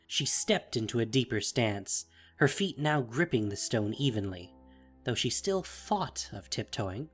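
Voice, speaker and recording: A man narrating a fantasy audio drama in a dramatic, scripted style. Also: mid-range voice.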